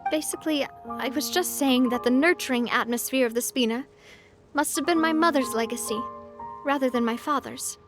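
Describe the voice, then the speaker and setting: A woman's voice: high voice, normal speed, natural voice. A woman voicing a cheerful, confident young noblewoman who laughs easily and turns wistful when reflecting, in a video game. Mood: reflective. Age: young adult. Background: soft game music.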